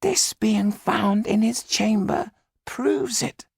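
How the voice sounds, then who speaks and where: Haunted audiobook magician, grave and secretive; very gravelly, slow, halting delivery. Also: male voice, dry recording, clean studio sound, exaggerated voice. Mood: grave, certain. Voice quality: high, raspy, creaky, breathy. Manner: slow, dramatic, halting storytelling. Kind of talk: audiobook character acting.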